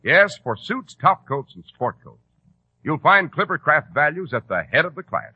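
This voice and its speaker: Animated American 1940s radio announcer man. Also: on the high side, moderate pace, slightly rough, no echo. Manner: polished, salesmanlike, emphatic. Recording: muffled old radio broadcast.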